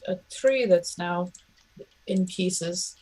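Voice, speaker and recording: A woman's voice: fairly low pitch, extremely slow, warm tone. A woman speaking casually as a player in a tabletop role-playing game.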